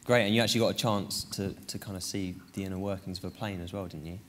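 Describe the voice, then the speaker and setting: A man moderating a panel of students, asking questions quickly with friendly joking asides. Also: sits low, steady tone, a bit bright.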